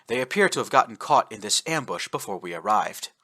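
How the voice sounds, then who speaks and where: A man voicing a ship's reporting voice, stating facts in an even, matter-of-fact way. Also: on the low side, fairly quick, exaggerated voice. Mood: factual.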